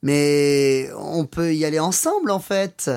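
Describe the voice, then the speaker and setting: A man's voice: a little high, a little slow, vocal fry, a little mellow, clean tone. A man playing a friendly local Frenchman giving helpful directions in a scripted language-course dialogue.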